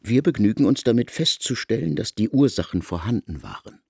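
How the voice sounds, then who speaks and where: Male narrator for literary drama. Deep-ish register, highly varied melody, formal, expressive delivery. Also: brisk, creaky, a little hiss or hum.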